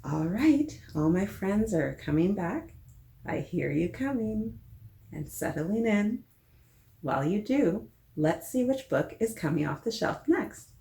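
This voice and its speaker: A woman hosting a children's story time, reading a picture book aloud in a playful, expressive way and talking directly to her young listeners. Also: mid-range voice, measured pace, creaky. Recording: roomy, echoing sound.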